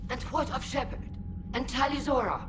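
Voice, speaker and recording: A woman's voice: sits high, lively intonation, moderate pace, music in the background. A woman playing a stern, authoritative alien admiral in a video game, formal and commanding. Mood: indignant.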